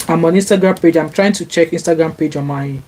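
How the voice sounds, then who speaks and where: A woman's voice: sits low, fast, dark tone. Chatty Nigerian woman reading comments. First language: Yoruba. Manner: chatty, frequent fillers and restarts, rises when indignant. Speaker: vlogger reading viewer comments. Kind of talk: casual live-stream commentary. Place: home, sunny Glasgow. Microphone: laptop.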